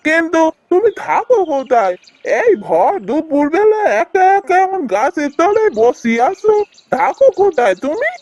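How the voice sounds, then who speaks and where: A woman's voice: very high voice, sing-song, moderate pace, a little mellow, highs cut off, slight background noise. A woman playing a kind, earnest, hard-working village husband in a fairy-tale cartoon. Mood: concerned, kindly. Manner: earnest, caring. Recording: music behind the voice.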